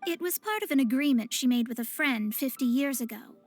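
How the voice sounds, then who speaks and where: A woman's voice: high voice, animated, fairly quick, no echo. A woman voicing a proud young woman astrologer in a video game, speaking in a pompous, formal, precise way. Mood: lofty, self-assured. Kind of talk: scripted video game voice acting. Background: game music.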